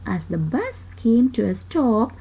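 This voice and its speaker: A woman reading a children's story aloud in an expressive way, with dramatic pauses and emphasis. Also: a little high, slightly rough.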